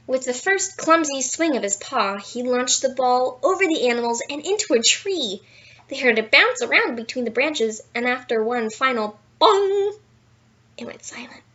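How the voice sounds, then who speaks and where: A woman reading a children's picture book aloud in an expressive, playful storytelling style with dramatic emphasis. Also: high-pitched, a little slow, highs cut off. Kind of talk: animated bedtime story read-aloud with asides.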